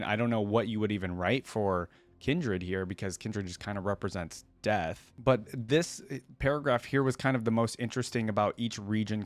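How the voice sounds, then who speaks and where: Man, lowish pitch, dry close recording, casual reaction host, commentary and read-aloud passages. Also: no echo.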